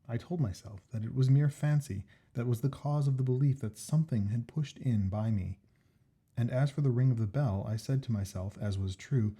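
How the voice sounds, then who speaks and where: A man reading a classic ghost story aloud as scripted narration, in a measured, expressive manner with deliberate phrasing. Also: lowish voice, slightly rough. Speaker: story reader.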